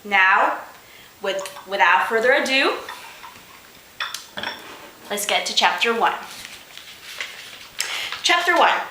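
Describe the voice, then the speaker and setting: A woman's voice: mid-range voice, pushed and bright, slight background noise. A woman doing a comedic read-aloud of a story as host, amused and exasperated, adding asides as she goes. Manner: amused, breaks into giggles while reading, exasperated asides. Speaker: host of a fanfiction reading show. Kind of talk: comedic dramatic reading with asides. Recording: roomy, echoing room sound.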